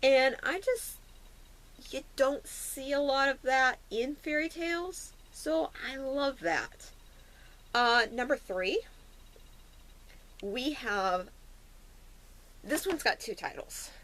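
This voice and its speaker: A woman's voice: high-pitched, slow, long pauses, fairly bright tone, low-quality recording. A woman chatting casually and unscripted as a vlogger, retelling stories in a playful, slangy way with frequent asides. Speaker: vlogger recounting favourite fairy tales. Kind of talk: casual unscripted chat to camera.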